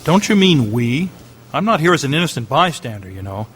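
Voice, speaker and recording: An articulate doctor in a radio drama. He is earnest and very expressive as he explains, with faint room ambience behind. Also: fairly high pitch, brisk, a little hiss or hum. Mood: assertive. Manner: explanatory, insistent. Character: earnest, articulate male doctor and government investigator. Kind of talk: family radio drama acting.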